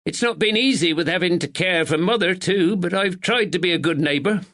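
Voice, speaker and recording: A man's voice: sits high, a little fast, fairly bright tone, voice pushed up. A man voicing an anxious middle-aged village woman with a dialect who cares for her mother. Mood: nervous, polite. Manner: timid, dialect "were".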